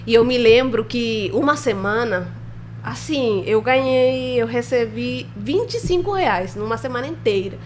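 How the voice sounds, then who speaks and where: A young woman with a Spanish accent and Spanish as her first language, telling her story as a podcast guest in an animated way and acting out dialogue. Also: fairly high pitch, unhurried, a little hiss or hum. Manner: animated, laughs often, acts out dialogue. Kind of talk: casual storytelling interview.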